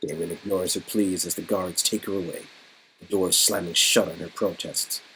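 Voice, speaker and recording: A man's voice: low-pitched, steady tone, normal speed, sharp tone, rough recording. A man from Canada narrating an interactive story game as its reader. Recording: music under the voice.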